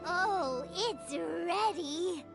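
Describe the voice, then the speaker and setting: A woman voicing a tiny, chirpy, childlike fairy companion in a video game, squeaky and full of exclamation. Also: animated, extremely slow, gravelly, over music, exaggerated voice. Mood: excited. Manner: exclamatory, refers to self by name.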